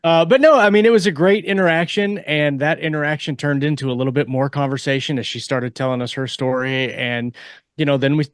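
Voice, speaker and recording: A man co-hosting a podcast in casual chat, telling stories in a joking way with hesitant pauses. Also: fairly high pitch, steady tone, smooth, dry recording, studio quality. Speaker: podcast co-host and author.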